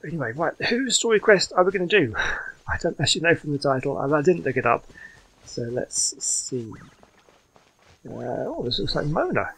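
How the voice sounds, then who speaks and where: Casting: male gaming host, slightly high voice, loose chatty commentary that wanders and hesitates. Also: very animated, normal speed, creaky, a bit bright, a little hiss or hum, rough recording. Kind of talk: casual gameplay commentary.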